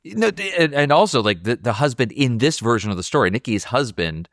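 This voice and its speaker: A man's voice: mid-range voice, sing-song, brisk, a bit bright, no room sound, clean studio sound. A man hosting a podcast, talking casually and enthusiastically about film as he thinks aloud. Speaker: podcast host.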